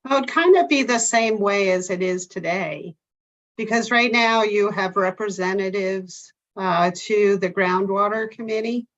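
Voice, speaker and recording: A woman on the town staff walks through the process in a slow, measured way, stopping now and then on fillers. She speaks over a remote video call, and the audio is dull and lo-fi. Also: mid-range voice, fairly bright tone. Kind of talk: remote public meeting explanation.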